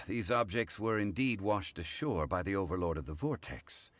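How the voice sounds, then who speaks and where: A refined, ancient-souled scholar from a video game. His voice is very deep and grainy, moving expressively in pitch while staying formal, measured and composed. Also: animated, a bit bright, no echo. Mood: measured, mildly regretful.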